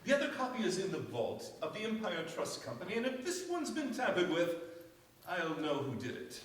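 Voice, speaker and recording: A man's voice: sits high, big pitch swings, a little fast. A man playing a formal, dutiful family lawyer in a staged mystery radio play. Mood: alarmed. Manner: formal, proper. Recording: echoey stage from far off, faint noise.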